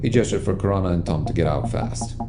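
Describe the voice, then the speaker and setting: A man's voice: low voice, fast talker, creaky. A man narrating an audio drama in a scripted storytelling style. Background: music.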